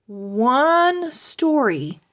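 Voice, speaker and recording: A woman's voice: sits high, big pitch swings, drawn-out words, voice pushed up, heavily acted. A woman voicing a bossy older girl speaking in a firm, emphatic way. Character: bossy older sister, spooky storyteller.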